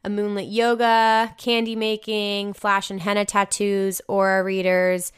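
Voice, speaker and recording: A woman's voice: medium pitch, flat delivery, a little slow, smooth. A young woman with a New Jersey American accent, a podcast host reading listener emails aloud and reacting in an effusive, slangy, casual way with some swearing. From New Jersey, United States.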